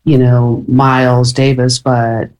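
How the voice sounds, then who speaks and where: A woman's voice: extremely low pitch, flat delivery, slow pace, a little mellow. Chatty woman guest, full of fillers. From born in London, raised in Michigan. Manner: rambling, many fillers and restarts, laughs easily. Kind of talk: casual interview.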